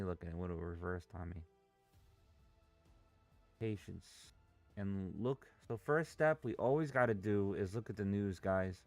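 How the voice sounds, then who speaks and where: Lowish guy talking live trades, impatient, cusses a lot, dry sound, faint music behind. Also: no room sound. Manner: restless and impatient, swears freely. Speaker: day trader streaming live. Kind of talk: casual live trading commentary.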